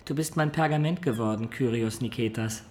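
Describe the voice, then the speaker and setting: A man's voice: medium pitch, fairly quick, darkish tone, slight background noise, close to an everyday voice. An actor playing a wistful adventurer in a radio drama, recounting his life in a reflective, confiding way. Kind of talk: radio drama acting and storytelling.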